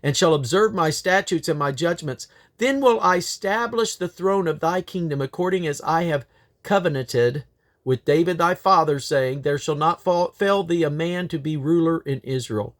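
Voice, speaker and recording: A man's voice: on the high side, normal speed. A man reading scripture aloud in a solemn, declamatory way, with the occasional slip and correction. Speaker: Bible reader.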